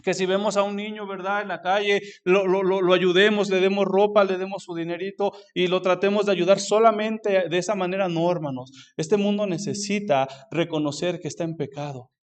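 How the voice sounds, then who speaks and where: A man preaching in church with a high, ringing voice. His carries a fervent, urgent missionary sermon. He keeps calling out to his brothers, and he stumbles over restarts as he pushes on. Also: steady tone, fairly quick, hardly any pauses, clean tone. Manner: fervent preaching, repeats "hermanos" often, frequent restarts. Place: church sanctuary.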